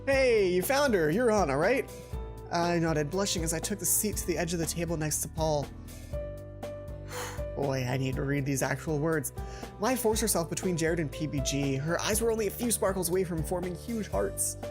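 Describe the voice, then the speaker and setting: A young adult man reading a visual novel aloud as a let's play host, expressive, often correcting himself and dropping in casual commentary. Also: a little high, moderate pace. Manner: expressive, self-correcting reader. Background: game music.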